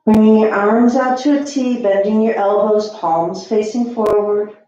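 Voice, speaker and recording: A woman leads a yoga class in a mellow, soft-edged voice. She speaks slowly, giving gentle, soothing cues and leaving long pauses between them. The sound is very echoey, as in a reverberant room. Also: mid-range voice, fairly even intonation, highs cut off, faint music behind. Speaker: yoga teacher. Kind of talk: guided yoga and meditation instruction.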